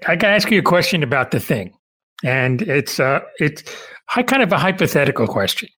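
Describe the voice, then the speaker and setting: A man's voice: a little high, sing-song, a little fast, sharp tone, slightly rough. A chatty, enthusiastic middle-aged or older man interviewing a guest in a casual way.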